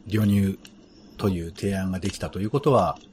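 Deep voiced guy on a chat show, slow careful mock-formal phrasing, little noise behind. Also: steady tone, a little mellow. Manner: measured, mock-formal, deliberate. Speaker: show presenter. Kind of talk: relaxed round-table chat.

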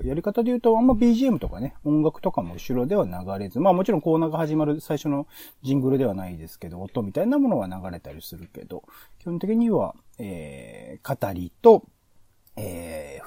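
A man's voice: fairly low pitch, mellow, husky, no echo, far from the mic. A man co-hosting a podcast, giving conversational commentary in a review of radio shows. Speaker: podcast co-host.

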